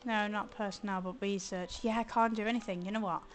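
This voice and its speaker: A hoarse woman chatting casually as she streams a video game, thinking aloud and grumbling playfully. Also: mid-range voice, fairly even intonation, fairly quick, soft background music. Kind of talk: casual let's-play commentary.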